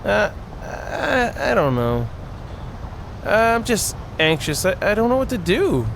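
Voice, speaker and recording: A man voicing an anxious, sleepy young girl in a visual novel, wistful and halting in speech. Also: sits high, very animated, gravelly, a bit bright, a little hiss or hum, heavily acted. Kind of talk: visual novel character read aloud.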